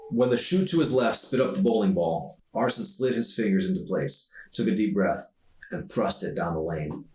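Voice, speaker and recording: A man reading his own novel aloud as an author, in expressive audiobook narration. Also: deep voice, normal speed. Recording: echoey room, distant mic.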